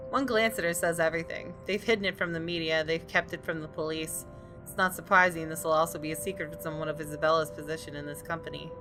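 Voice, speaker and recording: Female game narrator: lowish register, expressive storytelling read, music bed underneath. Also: quick pace. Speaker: gaming video host reading the story. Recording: music playing behind.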